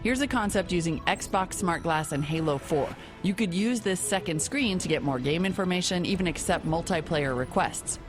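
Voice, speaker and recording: A woman's voice: fairly low pitch, lively intonation, normal speed, few pauses. A woman host narrating a scripted report in a wry, opinionated way. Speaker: show host. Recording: music bed underneath.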